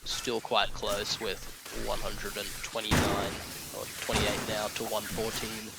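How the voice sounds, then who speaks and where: Australian man casting a game, flat delivery. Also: fairly low pitch, vocal fry, over loud music. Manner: quick, chatty play-by-play with fillers. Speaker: esports caster. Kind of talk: live game commentary.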